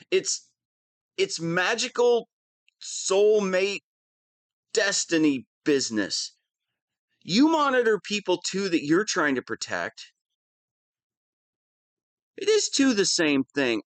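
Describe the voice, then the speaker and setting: A man's voice: high-pitched, big pitch swings, slow pace, pauses often, a bit bright, dry recording, full character voice. A man playing a whiny, lovestruck young wannabe villain in an audio roleplay, petulant and defensive. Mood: flustered, defensive. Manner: petulant, defensive, swinging between sheepish mumbling and indignant outbursts. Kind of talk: scripted audio roleplay monologue.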